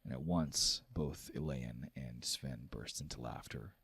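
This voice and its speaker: A man's voice: a bass voice, measured pace, gravelly, a bit bright, husky, dry recording. A man acting as game master, narrating a tabletop fantasy game in a dramatic storytelling style.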